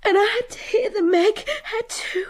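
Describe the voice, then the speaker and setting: A woman's voice: very high voice, normal speed. An actress playing a destitute, anguished young woman, tearful and pleading, in a radio drama. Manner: pleading, tearful, repeating herself.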